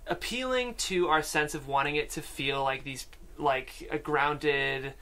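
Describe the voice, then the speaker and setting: A young man hosting a casual panel interview in a studio, halting as he searches for words, on a desk mic. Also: fairly high pitch, sharp tone. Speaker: panel host. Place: podcast studio, indoors. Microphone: desk microphone with headphones.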